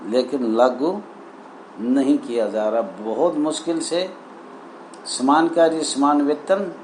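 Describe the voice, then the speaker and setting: Guy doing an advocacy video, mid pitch, reads stuff out in short halting bits, some background noise. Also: darkish tone, poor audio. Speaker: welfare association leader addressing members. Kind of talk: advocacy video address, reading out documents and commenting.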